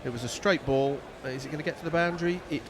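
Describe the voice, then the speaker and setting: A man's voice: on the high side, fast talker, noisy background. A man giving live ball-by-ball cricket commentary on internet radio, with dry, wry asides between deliveries.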